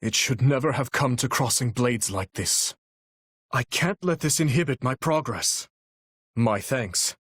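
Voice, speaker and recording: An actor playing an earnest, courteous young warrior prince in a video game, speaking formally and resolutely. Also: male voice, medium pitch, expressive, unhurried, fairly bright tone, husky, no room sound, clean studio sound. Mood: grave, gracious. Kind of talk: video game battle voice lines.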